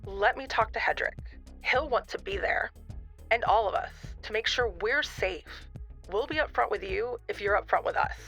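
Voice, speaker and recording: Guarded secretive woman actress, lots of creak, music behind, audio drama. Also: mid-range voice, moderate pace, a bit bright. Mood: guarded, firm. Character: Nicole, wary, secretive woman negotiating cautiously. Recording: music under the voice.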